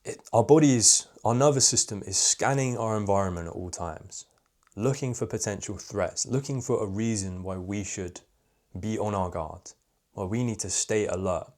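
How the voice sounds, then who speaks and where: Candid young man, fairly monotone voice. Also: on the low side, moderate pace. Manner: reflective, candid, frequent "like" fillers. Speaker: social skills coach. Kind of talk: casual talking-head advice video.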